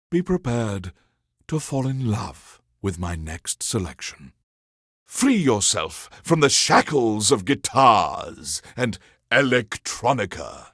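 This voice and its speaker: A grandiose alien emperor presenting classical music on a video game radio station. His delivery is unhurried and theatrical, with sweeping rises and falls in pitch as he savors each phrase. He talks down to his subjects with lofty condescension, in a very dry, close studio sound. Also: no room sound, full character voice. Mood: smug, grandiose. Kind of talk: theatrical scripted radio host patter.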